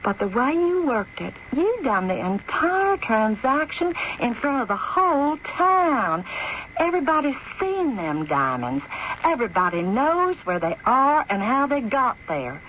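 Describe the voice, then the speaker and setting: A folksy, motherly Old West woman with a Western drawl and a high, animated voice. She chats and coaxes in rustic grammar, in radio-play style, on a dull, noisy old broadcast. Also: unhurried. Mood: knowing, chatty. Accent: American Western drawl. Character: folksy, motherly frontier saloon woman, warm and admiring. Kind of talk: old-time radio drama acting.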